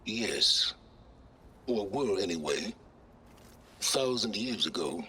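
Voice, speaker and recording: An actor playing an arrogant, condescending middle-aged scholar in a video game, speaking with smug, deliberate theatricality. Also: male voice, fairly low pitch, expressive, pushed and bright, slightly rough, full character voice. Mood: dismissive. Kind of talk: video game cutscene acting.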